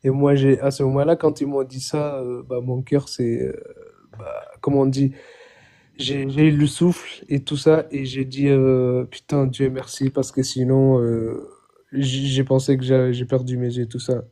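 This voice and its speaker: A young man from Chad calling in to tell his own story, halting, repeating words and often swearing it's true. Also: medium pitch, level tone, warm tone. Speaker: caller. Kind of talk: phoned-in personal testimony.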